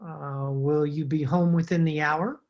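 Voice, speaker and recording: A woman playing a nervous, evasive man in a radio detective drama, husky, hesitant and formally polite. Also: sits low, steady tone, measured pace, muffled recording. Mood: cautious, polite. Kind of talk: old-time radio detective acting. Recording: home recording over video call.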